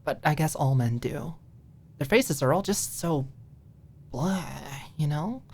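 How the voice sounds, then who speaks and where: A snarky, opinionated young woman , acted by a female narrator in an audiobook romance. She talks bluntly and sarcastically, in a conversational rant, with a voice on the low side for a woman. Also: lively intonation, slow, darkish tone, heavily acted. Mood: grudging, disdainful.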